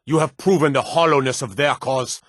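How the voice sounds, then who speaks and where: An actor playing a stern, dutiful fantasy guard and soldier in a video game, formal and commanding, with measured delivery. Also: male voice, medium pitch, fairly bright tone, no echo, studio quality, close to an everyday voice. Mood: rousing, solemn. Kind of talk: scripted fantasy game dialogue.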